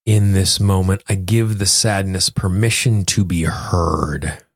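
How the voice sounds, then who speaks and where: A man's voice: sits low, steady tone, slow pace, dry recording, studio quality. A man speaking as a podcast host and coach, explaining and instructing the listener directly in a conversational self-help style. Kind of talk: conversational self-help talk and guided tapping exercise.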